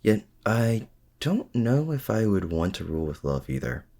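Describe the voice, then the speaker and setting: A man playing a feared but self-doubting supervillain, confiding casually and haltingly in a heroic rival in an intimate ASMR roleplay. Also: big pitch swings, dark tone, heavily acted. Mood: wry, confiding. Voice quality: very deep, gravelly. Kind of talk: intimate ASMR roleplay audio drama, addressing the listener.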